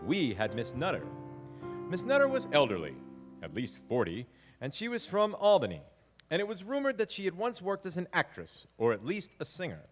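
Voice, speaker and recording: A man as an animated, nostalgic storyteller in a hall. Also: on the high side, fairly quick. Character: nostalgic grown man fondly recalling childhood Christmases. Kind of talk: scripted monologue performed live. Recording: reverberant live hall, music underneath.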